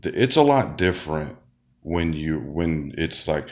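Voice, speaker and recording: Sick man with a very deep voice. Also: sing-song, measured pace, a little mellow. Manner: rambling, many fillers and restarts. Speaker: wrestler and comedian. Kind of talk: casual monologue.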